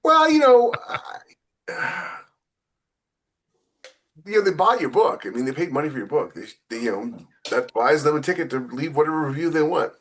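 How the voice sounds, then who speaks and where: A man's voice: fairly high pitch, big pitch swings, normal speed, long pauses, a bit bright. A man who writes novels, chatting casually as a podcast co-host in a wry, emphatic, conversational way. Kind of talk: casual podcast chat among authors.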